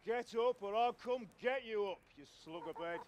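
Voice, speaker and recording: An actor playing a gruff, curt, middle-aged working father from a medieval village in a video game. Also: high-pitched, moderate pace, lightly acted. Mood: grumbling, stern. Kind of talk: naturalistic video game acting.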